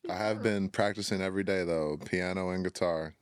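A young man with a deep, low-pitched voice talks in a loose, laid-back way, laughing easily and jumping between thoughts. He grew up in Minnesota. The recording is close and dry, with no room sound. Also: steady tone, fairly quick, smooth, no room sound. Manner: laid-back, self-described scatterbrained, laughs often. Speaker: guest, music artist and producer. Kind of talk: casual podcast chat.

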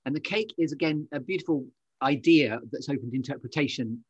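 A man's voice: medium pitch, a little fast, slightly rough, no room sound, highs cut off. A man hosting an interview podcast in a conversational, effusive and wordy way, full of compliments. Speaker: podcast host.

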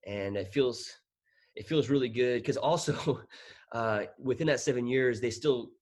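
A man's voice: medium pitch, a little slow, darkish tone. Laughing, easygoing man in his thirties. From Thailand. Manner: laughing, self-deprecating, rambling with restarts. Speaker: guest. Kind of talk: casual interview chat.

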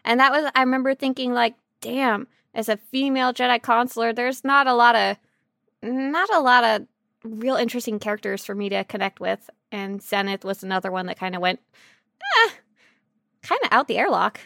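A woman with a highish, bright voice chats casually as a podcast co-host. She is playful and jokey, and the sound is close and dry. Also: moderate pace, gravelly, dry recording. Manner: silly, joking.

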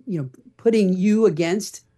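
A man's voice: high voice, moderate pace, mellow. A middle-aged man answering as a podcast guest in an earnest, explanatory, conversational way. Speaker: podcast guest, parenting blogger.

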